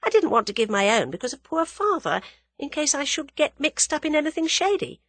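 Woman voicing an excitable, high-pitched young English woman. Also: brisk, no echo. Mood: animated. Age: young adult. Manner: bright, emphatic, excitable. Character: bold, impulsive, scheming young English woman. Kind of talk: dramatised audiobook reading.

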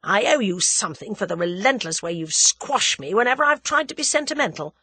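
A woman's voice: medium pitch, lively intonation, a little fast, pushed and bright, breathy edge, no room sound. A woman voicing a bossy, lovestruck young man adventurer in an audiobook, clipped and emphatic. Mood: ardent. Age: young adult. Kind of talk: audiobook character dialogue.

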